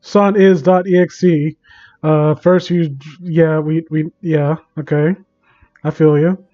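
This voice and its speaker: A man doing a casual livestream reading and commentary, exasperated, sarcastic and sweary, with a halting delivery. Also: a little high, steady tone, dark tone, lo-fi sound. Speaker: live streamer reading and mocking online fan fiction.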